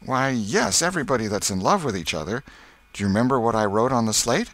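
A man's voice: medium pitch, animated, fast talker, a bit bright, voice pushed up. A man voicing an eager, boastful young schoolboy in a storybook, with a folksy, insistent way of talking. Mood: coaxing.

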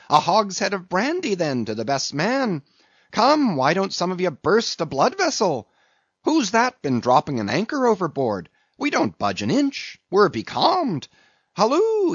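A man's voice: medium pitch, husky, no echo, highs cut off. A man voicing a jocular, blustering whaleboat mate who heartily teases and goads his crew with questions, as an audiobook character. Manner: hearty, teasing, rhetorical questions.

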